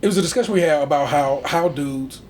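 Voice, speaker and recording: A man co-hosting a podcast, explaining in a halting, stop-start way. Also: a little high, darkish tone, slight background noise. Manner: halting, restarts often. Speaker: podcast host. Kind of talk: casual chat.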